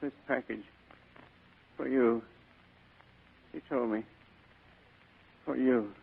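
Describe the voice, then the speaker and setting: An actor playing a dying sea captain in a radio drama, gasping and halting in a gravelly voice, on a tinny old broadcast. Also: male voice, mid-range voice, fairly even intonation, full character voice. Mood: dying, desperate. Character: dying sea captain, weakly delivering a package.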